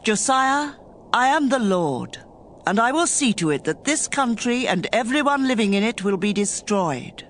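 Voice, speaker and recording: A stern female prophet voiced by an actress declaims divine judgment in a dramatized scripture reading. Her solemn delivery swings widely in pitch, with faint ambient noise behind it. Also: animated, measured pace. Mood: stern, furious. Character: stern woman prophet speaking God's furious judgment.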